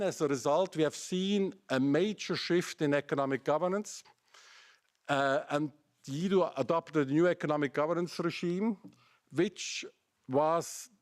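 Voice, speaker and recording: A middle-aged man with a German accent giving a seminar presentation, building his case through pointed rhetorical questions. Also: a little high. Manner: argumentative, asks rhetorical questions. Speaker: academic speaker.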